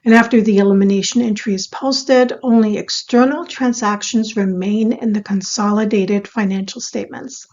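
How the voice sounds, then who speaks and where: A woman giving a scripted explainer as an instructor, in a measured, step-by-step teaching manner. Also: medium pitch, steady tone, a little mellow, muffled recording. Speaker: accounting instructor.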